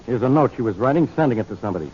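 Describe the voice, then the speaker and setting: An actor playing a quick-tempered, exasperated police inspector in a 1940s radio drama, gruff and badgering. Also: male voice, mid-range voice, expressive, a little mellow. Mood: accusing. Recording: muffled 1940s radio recording.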